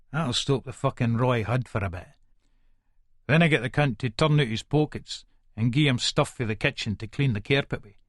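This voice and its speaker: A male actor plays a volatile Edinburgh hard man telling his own story in a dramatised audiobook. His broad Scottish speech is aggressive, colloquial and thick with swearing, sitting at a middling pitch. The sound is close and dry. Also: no room sound, close to an everyday voice. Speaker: audiobook narrator in character. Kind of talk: first-person dramatised audiobook monologue.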